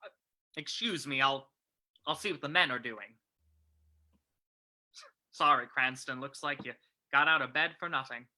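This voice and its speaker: A man playing a brisk, businesslike police commissioner in an old-time radio mystery. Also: medium pitch, sharp tone. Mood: brisk, apologetic.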